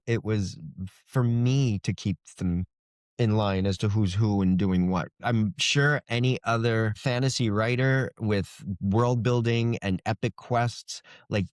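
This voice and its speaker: A man's voice: mid-range voice, measured pace, a little mellow, no room sound, studio quality. A man talking casually as an interviewed author, explaining things in a wry, good-humored way. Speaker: fantasy author being interviewed.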